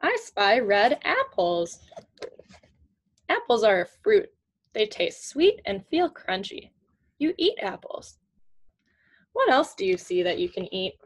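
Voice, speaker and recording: Young woman reading slowly to children, lively pitch. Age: young adult, 20s. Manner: slow, simple, teacherly phrasing with questions. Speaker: student reading a picture book aloud to children. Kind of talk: children's read-aloud with questions. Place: small indoor room at home with shelves.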